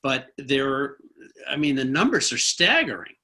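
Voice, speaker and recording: A man's voice: medium pitch, sing-song, unhurried, pushed and bright. A man hosting a conversational political interview, musing informally and in a rambling way. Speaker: interviewer, host.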